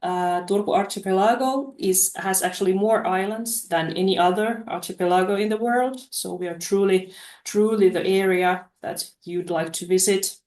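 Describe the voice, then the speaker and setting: A woman's voice: mid-range voice, fairly even intonation, moderate pace, darkish tone. Hesitant woman presenting in an echoey room. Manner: hesitant, many uh fillers and restarts. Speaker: study promotion presenter. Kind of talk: webinar slide presentation.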